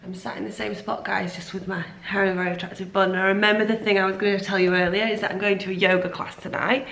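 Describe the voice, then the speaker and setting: A woman's voice: mid-range voice, little pitch movement, fast, vocal fry, a bit bright, echoey room, slight background noise. A young woman who has lost her voice after a cold, chatting casually and rambling to camera from home. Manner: chatty, rambling, self-deprecating. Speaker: vlogger. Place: at home, indoors.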